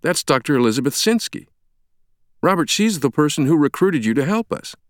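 A man's voice: a little high, big pitch swings, a little fast, no echo, studio quality, voice pushed up. A man playing an agitated American doctor in an audiobook, incredulous and demanding, blurting out his words. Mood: urgent. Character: agitated American man, an itchy, indignant public health doctor.

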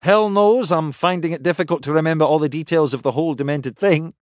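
A man's voice: fairly high pitch, brisk, no echo, studio quality, voice pushed up, lightly acted. A man voicing a blustering, wry, roguish male spaceship captain in an audiobook, exclaiming theatrically in colloquial speech. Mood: wry, frank. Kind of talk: audiobook character acting.

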